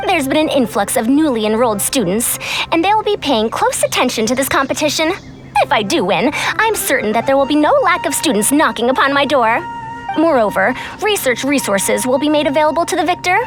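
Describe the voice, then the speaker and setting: A woman voicing a proud senior scholar in a video game, with a youthful voice and formal, old-fashioned speech. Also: sits high. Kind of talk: scripted video game character acting.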